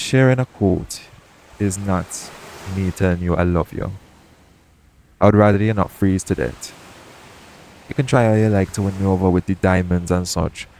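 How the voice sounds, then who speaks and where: A shady pirate captain, played by a man, speaks low and close to the listener in an audio roleplay. He is jaded but tender underneath, with frank, folksy phrasing and a teasing edge. A noticeable bed of ambient noise sits behind his voice. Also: natural voice, low-quality recording. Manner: colloquial dialect grammar, gently teasing, frank. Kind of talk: intimate audio roleplay acting addressed to the listener. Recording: clear background noise, ambient sound bed.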